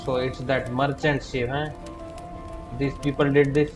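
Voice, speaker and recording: A man's voice: medium pitch, level tone, unhurried. A man giving casual live commentary as a game streamer. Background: game music.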